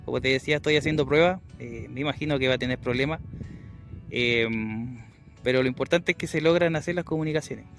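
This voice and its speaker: Chilean male radio hobbyist, casual field vlog delivery outdoors, average pitch, clear music bed behind. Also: fairly quick, a bit bright. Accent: Chilean Spanish. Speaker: amateur radio operator and vlogger. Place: outdoors on a hilltop.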